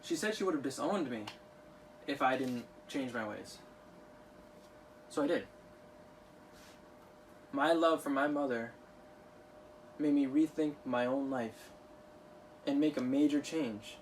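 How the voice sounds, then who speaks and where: A man's voice: mid-range voice, unhurried, long pauses, lightly acted. A man playing an earnest, remorseful former tough kid from Queens in a confessional stage monologue, recorded at a distance. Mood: remorseful, grateful. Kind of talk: dramatic monologue for a theatre class. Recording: distant mic with faint noise.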